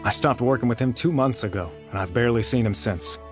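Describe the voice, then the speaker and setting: A man's voice: medium pitch, fast talker, music in the background, close to an everyday voice. An actor playing a disgruntled, principled male scientific researcher in a video game, with a guarded, blunt manner. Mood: cooperative. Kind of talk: video game character dialogue.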